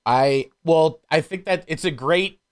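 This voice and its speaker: A man's voice: a little high, unhurried, clean tone. A man in a casual, opinionated chat about films as a podcast guest, swearing freely and wandering into asides.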